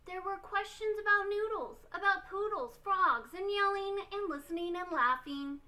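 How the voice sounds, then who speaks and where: A woman reading a children's storybook aloud expressively, with theatrical, deliberate emphasis. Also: very high voice, sharp tone. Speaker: storytime reader.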